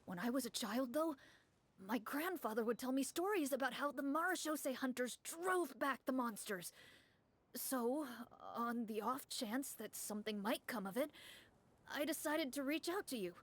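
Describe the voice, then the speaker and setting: A woman's voice: high-pitched, expressive, normal speed, a little hiss or hum. A woman voicing an anxious, grateful townsman in a game, speaking in a flustered, earnest and theatrical way. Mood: earnest worry.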